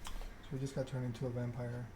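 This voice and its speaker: A man narrating a story game aloud in ASMR style, reading in a measured way with occasional stumbles. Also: medium pitch, completely flat delivery, background noise.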